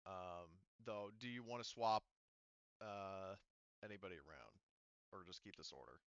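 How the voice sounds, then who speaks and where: A man running a tabletop game casually as game master, halting, often muttering to himself and swearing lightly. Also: deep voice, smooth, dry recording, muffled recording.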